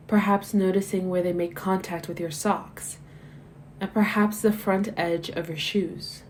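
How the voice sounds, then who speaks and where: Mellow, low-voiced woman guiding meditation. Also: slight background noise. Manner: gentle, measured, soothing instruction with soft phrase endings. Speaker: meditation guide. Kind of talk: guided body scan meditation, scripted narration.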